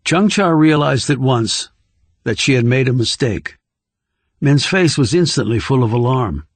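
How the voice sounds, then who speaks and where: A man narrates a thriller audiobook in a mid-pitched voice. The delivery is scripted storytelling, recorded dry with no room sound. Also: expressive, measured pace, no echo.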